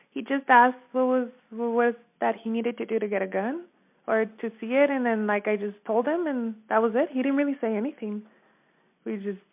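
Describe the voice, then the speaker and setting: A woman's voice: on the high side, vocal fry. A woman giving a casual, informal account in a phone interview, often checking that she is understood. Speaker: store manager giving a witness account. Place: telephone call. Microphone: phone line. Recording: narrow muffled phone-line audio.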